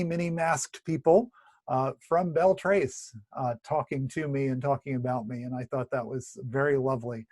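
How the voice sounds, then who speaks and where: Pastor leading a home Bible study, scratchy tired voice, dry close sound, chatty. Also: male voice, medium pitch, dry recording. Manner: chatty, warm asides with many fillers and restarts. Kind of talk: casual Bible study talk. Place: at home, indoors. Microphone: video recording at home.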